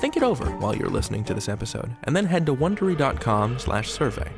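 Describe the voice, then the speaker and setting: A man hosting a podcast, giving a deliberate, scripted introduction to an audio drama. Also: medium pitch, sing-song, gravelly, over music. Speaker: podcast host.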